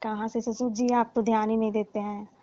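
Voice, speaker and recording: A woman's voice: fairly high pitch, little pitch movement, fairly quick, dark tone, dry recording, lightly acted. A woman playing a coy, complaining young daughter-in-law in a staged comic phone call. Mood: reproachful. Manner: coy, hinting, complaining, teasing. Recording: muffled, lo-fi, dry call recording.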